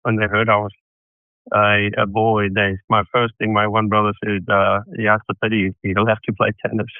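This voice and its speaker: A man's voice: on the low side, level tone, moderate pace, no room sound. A man from South Africa, a tennis coach guest on a podcast, telling long stories about his start in the sport with restarts and asides. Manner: rambling storyteller with restarts. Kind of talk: casual interview answers. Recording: tinny phone-like line, dry.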